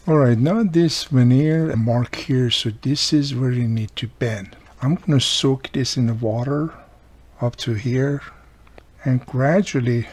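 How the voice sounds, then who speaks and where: A man's voice: medium pitch, slightly rough. A man with a non-native English accent giving a casual hands-on repair tutorial, explaining each step plainly as he works. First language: not English. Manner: plain-spoken, explains step by step while working. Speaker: radio repairer demonstrating a restoration.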